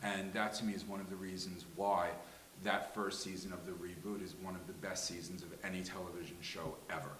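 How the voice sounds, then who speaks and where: A man's voice: sits low, flat delivery, brisk, fairly bright tone. A man giving his opinions in a panel discussion, articulate, wordy and emphatic. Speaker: panel guest. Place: live event room with a small audience. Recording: echoey room with faint hiss.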